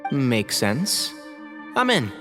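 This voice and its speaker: A man's voice: mid-range voice, wildly swooping pitch, extremely slow, full character voice. An actor playing an easygoing young man in a video game. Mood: taken aback. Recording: reverberant, music underneath.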